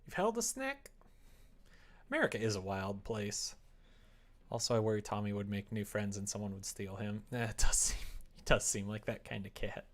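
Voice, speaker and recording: Casual, rambling man chatting on a livestream. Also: medium pitch. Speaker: live streamer. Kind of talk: casual chat with stream viewers, reading out their comments.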